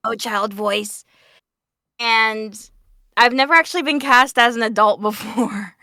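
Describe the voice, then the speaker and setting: A woman's voice: fairly high pitch, measured pace, pushed and bright, no echo, studio quality. A woman voice actor chatting casually and playfully on a panel. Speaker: voice actor on a fan dub Q&A panel.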